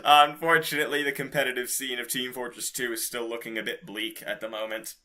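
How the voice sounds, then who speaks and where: A man's voice: medium pitch, flat delivery, fairly quick, sharp tone. A man co-hosting a podcast, chatting casually and informally about video games with loose, slangy talk. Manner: informal, slangy, mildly profane. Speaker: podcast co-host.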